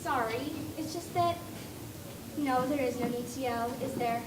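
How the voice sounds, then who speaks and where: A woman's voice: high-pitched, measured pace, roomy sound, distant mic, slight background noise. A woman playing Alice, a polite, earnest young girl who worries easily.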